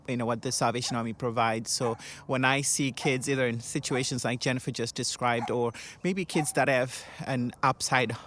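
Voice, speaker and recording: Man, earnest and reflective, mid-pitched voice, noisy background. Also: fairly bright tone. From Zimbabwe. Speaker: Salvation Army officer. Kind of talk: conversational interview answers.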